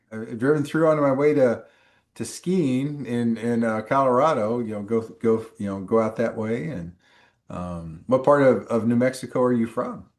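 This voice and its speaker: A man's voice: medium pitch, a little mellow. A male instructor chatting casually with students on a video call, rambling and full of ums and restarts. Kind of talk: casual chat with students in a live class.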